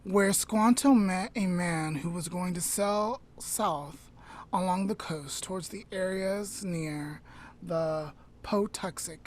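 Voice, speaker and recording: A woman podcast host telling a story aloud informally, in a measured way with dramatic pauses. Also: on the low side, darkish tone, slight background noise.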